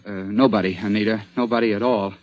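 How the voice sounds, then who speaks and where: A man's voice: on the low side, steady tone, quick pace, natural voice. An actor playing an agitated, stubborn young man-about-town caught up in an old-time radio mystery, speaking in a dramatic, exclamatory way. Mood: groggy, pleading. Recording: dull, band-limited vintage radio recording.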